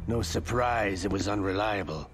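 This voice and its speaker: An actor playing a cool, pragmatic henchman to a villain in a video game cutscene, measured and matter-of-fact. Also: on the low side, noisy background, close to an everyday voice. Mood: thoughtful. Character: cool, pragmatic Russian gunman, the boss's right-hand man.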